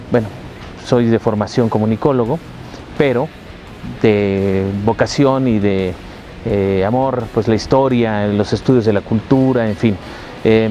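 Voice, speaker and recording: A man from Mexico City with a Mexican accent, a university professor talking reflectively about his career, pausing often to find his words. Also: medium pitch, dark tone, highs cut off. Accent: Mexican Spanish. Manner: reflective, frequent hesitations and restarts. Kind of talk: interview, recounting his career.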